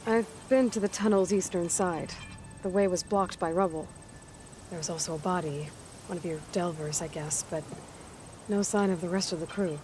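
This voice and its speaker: An actress playing a terse, capable young huntress in a video game, speaking in a level, matter-of-fact way. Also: female voice, on the low side, moderate pace, mellow, slight background noise, close to an everyday voice, poor audio. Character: terse capable female hunter, young adult. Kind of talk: video game cutscene acting.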